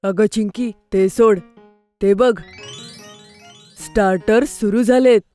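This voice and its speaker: A woman voicing an excitable, food-loving little boy in a children's cartoon, full of wide-eyed enthusiasm. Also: medium pitch, slow, natural voice. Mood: excited. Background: background music.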